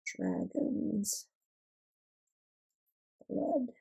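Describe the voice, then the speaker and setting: A woman casually chatting through an ink swatching session at her desk, relaxed and self-deprecating, her voice a little hoarse from a cold. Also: a little high, very slow. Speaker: stationery vlogger.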